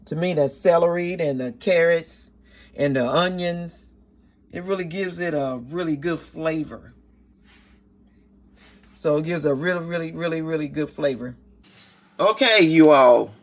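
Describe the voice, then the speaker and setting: A woman with a raspy voice, chatting casually to her viewers in a home kitchen cooking vlog. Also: sits low, a little slow, pauses often. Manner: chatty, talks straight to viewers. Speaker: cooking vlogger.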